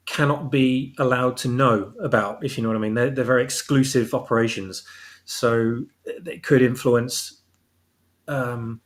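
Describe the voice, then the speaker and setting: A man with a mid-pitched voice talks as a guest author in a casual discussion. He explains his ideas thoughtfully, often rephrasing to find the exact word.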